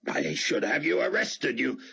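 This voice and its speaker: A man's voice: medium pitch, sing-song, measured pace, creaky, sharp tone, husky, pitched above the performer's own voice, exaggerated voice. A man voicing a pompous, indignant male official who speaks in a haughty, clipped way.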